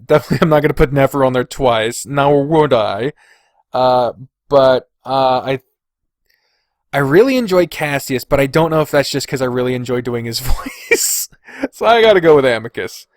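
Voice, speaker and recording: A man with a somewhat high voice talks in a casual, informal way, as a solo podcast host. He thinks aloud as he ranks his picks. The recording is dry, with very little room sound. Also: no room sound. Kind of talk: casual solo ranking commentary.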